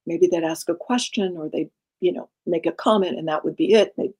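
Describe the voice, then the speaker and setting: A middle-aged woman executive coach with a soft, breathy, laid-back voice, speaking calmly in an interview. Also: fairly low pitch. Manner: calm, unhurried, laid-back. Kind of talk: conversational interview.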